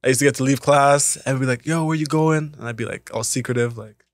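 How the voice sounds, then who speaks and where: A young man, a podcast co-host, telling casual stories with animated voices for the people he quotes. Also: mid-range voice, quick pace, no echo, clean studio sound. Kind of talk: casual storytelling.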